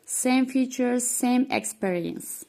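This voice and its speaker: A woman whose first language is Turkish, presenting a scripted walkthrough of software she built. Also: fairly high pitch, takes it slowly. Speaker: developer demoing her software. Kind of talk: scripted screen-recording walkthrough.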